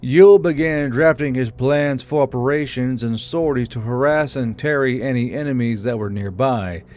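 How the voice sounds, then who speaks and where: A man's voice: medium pitch, darkish tone. Male fantasy story narrator, mid-range voice. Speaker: narrator and channel host.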